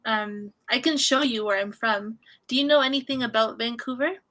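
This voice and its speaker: A woman from Canada tutoring English on a video call, friendly and encouraging, gently correcting her student. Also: fairly high pitch, normal speed. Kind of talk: online conversation lesson.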